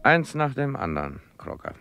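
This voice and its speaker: A man playing a calm, insistent police inspector in a German radio crime drama, dry and clipped. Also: mid-range voice, normal speed, creaky, smooth, exaggerated voice. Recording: vintage radio play, music behind.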